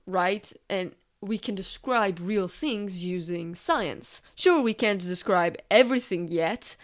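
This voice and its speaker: Conversational woman narrating a video essay. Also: medium pitch. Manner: conversational, explanatory. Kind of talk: scripted video essay narration with casual asides.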